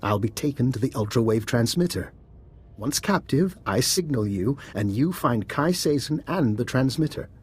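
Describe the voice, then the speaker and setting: An actor playing a resourceful, composed doctor and schemer in a video game, speaking in a measured, persuasive way. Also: male voice, mid-range voice, lively intonation, husky. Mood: calm, persuasive. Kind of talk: video game cutscene acting.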